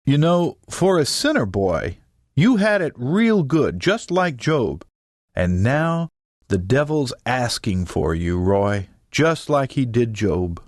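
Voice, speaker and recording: A man's voice: mid-range voice, sing-song, takes it slowly, no room sound, clean studio sound. A man voicing a scolding, pious old mother in an audiobook, indignant, prim and preachy. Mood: preaching. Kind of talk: audiobook character voice.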